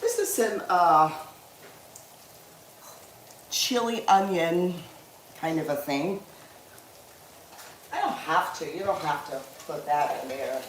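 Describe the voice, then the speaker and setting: A woman's voice: low-pitched, slow, long pauses, roomy sound, a little hiss or hum, poor audio. A woman, chatting casually with viewers as she cooks on a livestream, laughing easily. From Guyana. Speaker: livestream cooking host. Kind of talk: casual livestream cooking chat.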